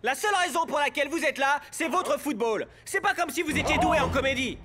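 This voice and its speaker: A man's voice: very high-pitched, lively intonation, brisk. A man playing a demanding, perfectionist film director in a cartoon, with a bossy, theatrical delivery. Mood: irritated, dismissive. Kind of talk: animated French-language cartoon dub. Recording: music behind.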